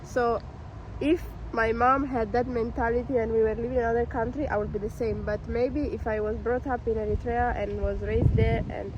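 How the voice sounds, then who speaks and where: A woman's voice: a little high, little pitch movement, a little fast, dull audio, noisy background. A young woman from Italy chatting casually about relationships, explaining things with plenty of qualifications. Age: young adult. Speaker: vlogger's girlfriend, co-host.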